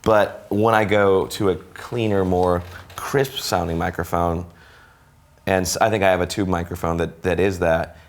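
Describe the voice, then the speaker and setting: A man's voice: low-pitched, steady tone, a little slow. A man with a nasal voice, hosting a casual studio conversation and thinking aloud as he goes. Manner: rambling, self-correcting, thinks aloud. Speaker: musician and show host.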